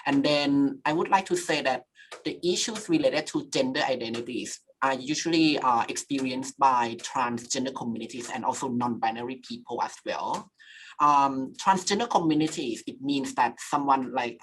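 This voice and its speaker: A woman's voice: very deep voice, normal speed, a little hiss or hum, low-quality recording. A woman from Thailand speaking on a webinar panel over a video call, searching for words and filling with "you know" and "like".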